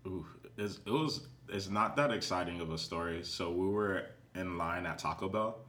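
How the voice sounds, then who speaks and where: A man's voice: deep voice, normal speed, bright, forceful tone. A man chatting casually as a music producer guest on a podcast. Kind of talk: casual chat.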